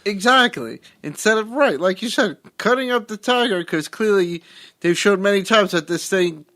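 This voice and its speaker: A man with a fairly high voice talks through a movie in relaxed podcast banter. He swears freely and often agrees with his co-host. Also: normal speed. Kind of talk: casual movie chat.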